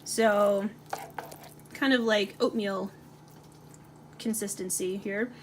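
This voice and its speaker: Woman, casual home cook, talks while stirring, medium pitch, clear background sizzle and kitchen noise. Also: fairly even intonation, slow pace. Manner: chatty, many fillers, talks while stirring. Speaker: cooking channel host. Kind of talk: casual cooking demonstration. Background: frying and stirring sounds.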